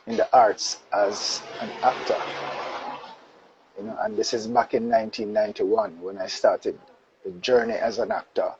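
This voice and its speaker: A man from Jamaica with a Jamaican accent, a guest on a casual live-stream interview, speaking in a relaxed way with patois phrases. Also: fairly low pitch. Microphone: phone on a live video call. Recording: dull lo-fi live-stream audio with faint noise.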